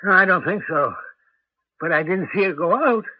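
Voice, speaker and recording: High-voiced, querulous old grandpa. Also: male voice, expressive, normal speed, slightly rough, dry recording. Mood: bewildered, doubtful. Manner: hesitant, querulous. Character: anxious, grumbling old grandfather. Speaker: radio drama actor. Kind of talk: 1980s radio drama acting. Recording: muffled old radio broadcast.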